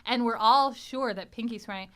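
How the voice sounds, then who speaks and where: A woman hosting and editing a podcast, chatting in a studio, lively and quick to laugh. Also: on the high side. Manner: animated, laughs often. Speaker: podcast host and editor. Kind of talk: casual chat.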